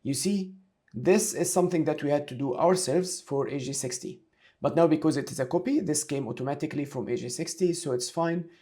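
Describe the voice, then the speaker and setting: Mellow-voiced male software instructor. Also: a little high, fairly quick. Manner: instructional, talks through each step aloud. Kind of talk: screen-share tutorial, explaining step by step.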